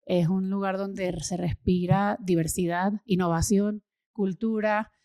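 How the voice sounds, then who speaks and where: A Venezuelan woman in her thirties, talking enthusiastically as an interview guest and often pausing with fillers and repeated words. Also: mid-range voice, flat delivery, mellow, clean tone, dry recording, clean studio sound. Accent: Venezuelan Spanish. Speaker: guest, social impact consultant. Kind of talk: casual interview conversation.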